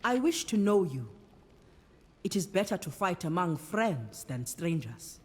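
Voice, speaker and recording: An actress playing a calm, devout warrior priestess of a sea goddess in a video game, speaking in a measured, solemn way. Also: female voice, on the low side, husky, exaggerated voice. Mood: calm, friendly. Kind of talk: dramatic video game acting. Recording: music under the voice.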